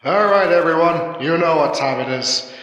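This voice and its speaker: A man playing a burly, soft-hearted pub owner with a hearty way of calling out, in an audio drama. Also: fairly high pitch, normal speed, pitched above the performer's own voice, close to an everyday voice, rough recording. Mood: rousing. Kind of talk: audio drama character dialogue.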